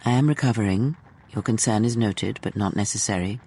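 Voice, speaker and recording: A man voicing an aloof, mystical female warrior in a fan radio drama, speaking in grave, riddling, measured phrases. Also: fairly low pitch, darkish tone, breathy edge.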